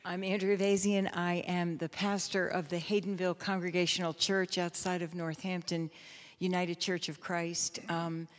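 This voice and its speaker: Older woman, even-toned, in a reverberant hall. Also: on the low side, moderate pace. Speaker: pastor, panelist. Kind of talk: self-introduction at a public panel.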